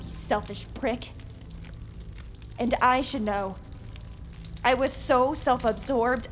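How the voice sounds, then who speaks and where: An actress playing a sassy, defiant high school girl with an American accent in an audio drama, slangy and sarcastic. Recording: music behind the voice.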